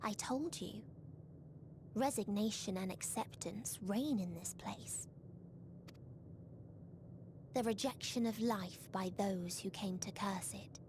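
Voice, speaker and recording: Slow, despairing otherworldly being. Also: female voice, mid-range voice, background noise. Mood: bleak resignation. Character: despairing otherworldly female being, mournful and calmly merciless. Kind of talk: scripted video game voice acting.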